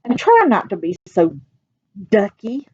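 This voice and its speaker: A woman's voice: mid-range voice, wildly swooping pitch, unhurried, warm tone, husky, full character voice. A woman voicing a stern male farmer in a children's storybook, announcing rules emphatically. The recording is dull and muffled. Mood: announcing, bossy. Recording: dull muffled audio with background noise.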